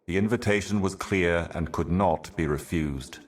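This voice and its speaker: An ancient cosmic envoy, acted by a man, speaks in an exceptionally low, bottomless male register. The delivery is measured and grave, like a prophet reciting cryptic scripture for a dark fantasy game. Every phrase carries solemn, portentous weight. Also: lightly acted. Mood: solemn, ominous. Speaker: video game character.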